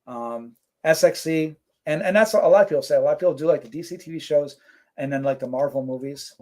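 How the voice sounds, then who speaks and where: A man's voice: on the high side, fast. Laid-back man chatting on a live stream. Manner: relaxed, rambling, many fillers. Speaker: co-host reading live chat. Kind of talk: casual live-stream chat.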